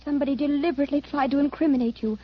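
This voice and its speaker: An actress playing an anxious, pleading young sweetheart in a 1940s radio drama, earnest and emotional. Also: female voice, high voice, steady tone, racing, natural voice. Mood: worried, earnest. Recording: dull 1940s radio recording.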